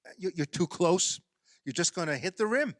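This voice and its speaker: A man's voice: high voice, lively intonation, moderate pace, a bit bright, no echo, clean studio sound. An actor in a radio play playing an exasperated man who coaxes and explains insistently, often stammering. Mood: flustered. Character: exasperated man coaxing a woman through a playground basketball game.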